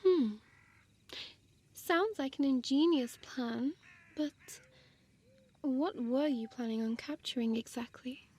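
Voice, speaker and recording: A woman plays a female vampire toying with her prey in an intimate audio roleplay. Her voice is fairly high and breathy, often sinking into whispers. She speaks extremely slowly, mocking and condescending, with a seductive predator's menace. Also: dark tone, heavily acted. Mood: mocking, teasing. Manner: slow, teasing, condescending, formal diction.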